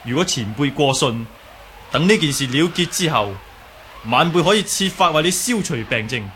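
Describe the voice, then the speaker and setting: A man acting a calm young martial artist who explains healing humbly and politely in Cantonese radio drama. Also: a little high, normal speed, a little hiss or hum. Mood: politely firm.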